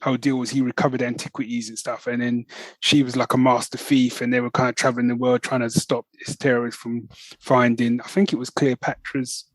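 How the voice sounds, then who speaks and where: A man hosting a podcast, chatting and ranting casually in an animated, storytelling way. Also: medium pitch, brisk, dry recording, rough recording. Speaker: podcast host.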